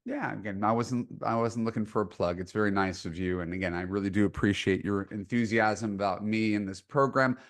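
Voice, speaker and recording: Low-voiced male dating coach hosting. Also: steady tone, brisk, hardly any pauses. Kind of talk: podcast intro and coaching call.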